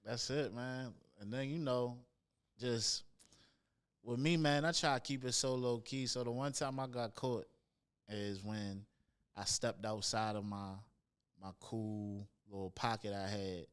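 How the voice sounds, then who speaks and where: A young man with a nasal voice, a rapper guest in a casual interview, telling stories in relaxed slang on a studio mic. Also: medium pitch, a little slow, clear, resonant tone. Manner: laid-back slang-heavy storyteller. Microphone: studio podcast mic near the nose.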